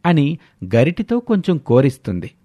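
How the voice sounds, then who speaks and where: A man's voice: a little high, huge pitch swings, moderate pace, mellow, dry recording, clean studio sound. A man narrating a scripted moral story as a storyteller.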